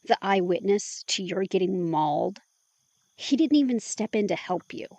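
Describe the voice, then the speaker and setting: A woman's voice: mid-range voice, animated, normal speed, darkish tone, no echo. An actress playing a skeptical young woman in an audio drama, dry, sarcastic and probing. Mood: challenging. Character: sharp-tongued young woman, skeptical, questioning.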